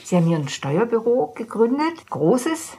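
A woman's voice: on the high side, very animated, moderate pace, mellow, husky. A woman interviewer asking a guest probing, conversational questions.

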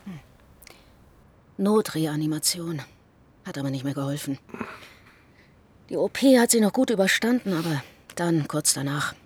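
A woman's voice: sits low, animated, moderate pace, pauses often, breathy edge. An actress playing a weary female hospital doctor and mother, curt and brusque in the way she talks. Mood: tired, subdued. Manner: clipped, brusque. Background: music.

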